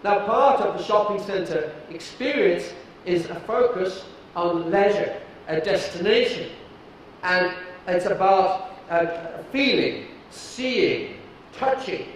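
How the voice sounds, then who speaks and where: A middle-aged British man giving a keynote talk on a large stage, deliberate and emphatic, with some fillers. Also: sits high, slight background noise. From United Kingdom. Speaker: keynote speaker. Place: large dark stage before an audience. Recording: echoey hall from a distance.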